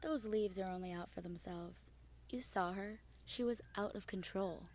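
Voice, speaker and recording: A woman's voice: mid-range voice, moderate pace, darkish tone, no room sound, natural voice. A young woman playing a teasing, hot-headed, territorial leaf with a blunt manner in a student radio play. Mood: defensive. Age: young adult. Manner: blunt, confrontational. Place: small improvised home recording space.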